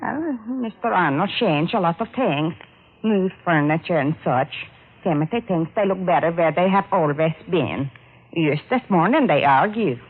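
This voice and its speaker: A woman playing a nervous, eager housemaid with a foreign accent and halting, broken English in radio drama. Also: fairly low pitch, expressive, husky. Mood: earnest recalling. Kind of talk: 1940s-50s radio drama acting. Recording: tinny, phone-like old radio recording.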